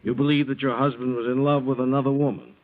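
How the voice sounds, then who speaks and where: An actor playing a methodical, insistent police interrogator in a 1940s radio drama, with probing, formal questions. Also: male voice, mid-range voice, level tone, fast, lightly acted. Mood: methodical, pressing. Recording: dull vintage radio recording.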